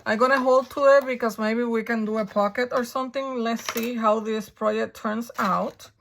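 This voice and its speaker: A woman with a raspy, hoarse voice from a coming flu, chatting her way through a crafting tutorial and thinking aloud as she works. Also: a little high, steady tone, normal speed, a bit bright, slight background noise. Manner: chatty, thinking aloud while working, frequent ums. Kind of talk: casual step-by-step crafting tutorial.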